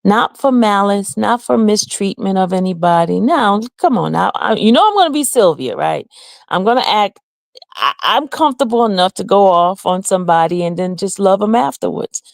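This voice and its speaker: A woman's voice: medium pitch, animated, normal speed, creaky, no room sound. A woman hosting her own livestream channel, talking earnestly and from the heart to her viewers, now and then answering the chat. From Haiti. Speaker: channel host. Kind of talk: casual livestream talk to viewers.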